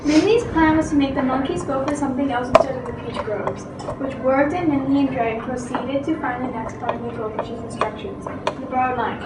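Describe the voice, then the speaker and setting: A woman's voice: high-pitched, fairly even intonation, fairly quick. A female narrator reading a story in an amateur readers theatre performance. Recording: echoey distant room, loud noise.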